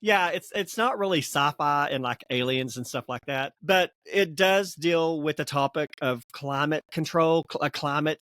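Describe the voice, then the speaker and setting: Male co-host for a casual book-chat podcast, fairly high pitch, dry close-miked, rambling delivery. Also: moderate pace, hardly any pauses, fairly bright tone, no room sound. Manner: rambling, laughs often, stumbles over words.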